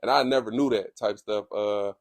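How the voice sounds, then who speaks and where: Laid-back Detroit man, low calm voice. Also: normal speed, gravelly, no room sound. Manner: laid-back slang-heavy storytelling. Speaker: guest, comedian. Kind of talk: casual interview chat.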